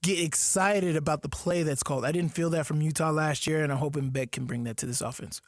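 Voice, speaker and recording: A man's voice: fairly high pitch, steady tone, fast, no room sound, clean studio sound. A man co-hosting a sports radio show, talking casually and animatedly with lots of fillers and laughs.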